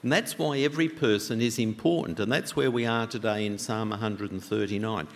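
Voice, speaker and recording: A man preaching a sermon with a loud voice, talking conversationally and asking the congregation many questions. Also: lowish voice, brisk. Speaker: pastor. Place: church. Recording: long church reverb.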